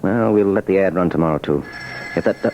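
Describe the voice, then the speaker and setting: Fast-talking radio police sergeant. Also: male voice, low-pitched, a little mellow. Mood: matter-of-fact. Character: steady, practical police sergeant, obliging to his captain. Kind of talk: 1940s radio drama acting. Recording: noisy old radio recording.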